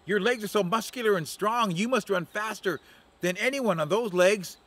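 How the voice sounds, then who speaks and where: Man doing a silky flattering octopus woman, higher voice, sweet-talking predator. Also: normal speed. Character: sly flattering female octopus, patient predator.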